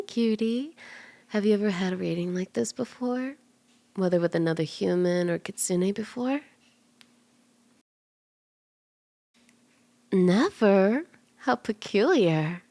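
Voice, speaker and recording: Soft, dry, close-miked kitsune mystic played by a woman. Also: medium pitch, dry recording. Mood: curious, teasing. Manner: gentle, playful, unhurried, slips into whispers. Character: cute, friendly kitsune fox-woman mystic and tarot reader. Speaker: ASMR roleplay voice actor. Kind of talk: ASMR roleplay, soft-spoken and often whispered. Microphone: close ASMR microphone in a dry space.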